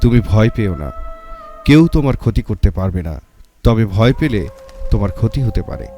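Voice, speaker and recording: A grateful storybook tree, voiced by a man in a low register, pleading for help and giving careful warnings. Music plays clearly behind the words. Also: very animated, normal speed, dark tone. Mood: urging, cautioning. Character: grateful talking pomegranate tree, pleading and cautioning. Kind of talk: storybook character voice.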